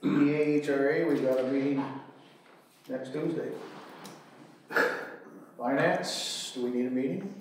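A man chairing a city council meeting, brisk and joking as he calls on each report. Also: mid-range voice, steady tone. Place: council chambers, large room. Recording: echoey room, far from the mic, faint hiss.

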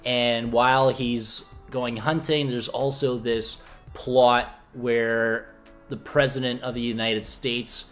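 A man's voice: medium pitch, flat delivery, takes it slowly, fairly bright tone. A young man co-hosting a casual film review in a small indoor room, with faint music behind. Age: young adult. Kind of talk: casual movie review chat.

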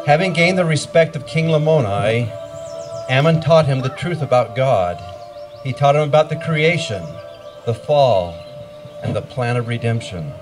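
A middle-aged man narrates a scripture pageant outdoors through a handheld microphone. His voice sits at an ordinary male pitch. Music plays clearly behind him as he reads. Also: expressive, moderate pace. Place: outdoor wooded stage. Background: music playing.

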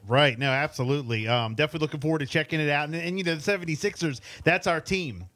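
Casual male radio host, halting delivery. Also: on the high side, fairly bright tone, slight background noise. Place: small indoor radio studio. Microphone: close boom studio microphone with headphones.

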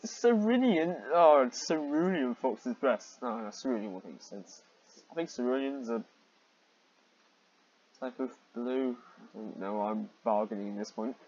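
A man's voice: medium pitch, lively intonation, a little slow, a little mellow, highs cut off, a little hiss or hum. A man reading amateur fiction aloud with sarcastic commentary and exasperated, incredulous asides.

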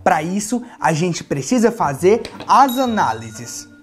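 A man's voice: high voice, big pitch swings, slow. A young man with a Brazilian accent presenting a playful children's story as a toy doctor, speaking in simple, child-directed phrases. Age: young adult. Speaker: children's video host playing a toy doctor. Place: small furnished playroom studio, indoors. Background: background music.

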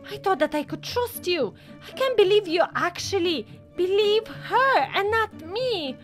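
A woman's voice: very high-pitched, lively intonation, a little slow, soft background music. A woman playing a scheming, guilt-tripping mother in an amateur role-play story, with an emphatic way of talking. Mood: indignant, wounded.

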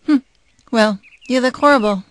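A woman's voice: fairly high pitch, moderate pace, slight background noise, lightly acted. Woman playing a curt, sarcastic, irritable mother. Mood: sarcastic, bitter. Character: irritable, cutting divorced mother of a young girl. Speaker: voice actor. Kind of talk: audio drama acting.

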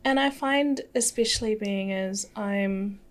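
A woman's voice: mid-range voice, measured pace. A woman from New Zealand hosting a podcast in a small studio, supportive and sharing personal reflections. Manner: supportive, frequent soft agreement sounds, personal reflection. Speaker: podcast host. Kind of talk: casual studio conversation. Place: small studio with plants and shelves. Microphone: podcast mic on a boom arm.

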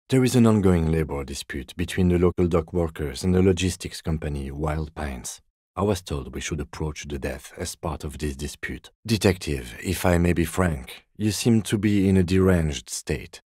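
Very deep, deadpan police detective. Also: male voice, slightly rough, dry recording, clean studio sound. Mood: matter-of-fact briefing, then stern, formal. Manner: measured, restrained, deadpan, formal. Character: composed, dry-witted middle-aged male police detective. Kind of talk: video game character dialogue.

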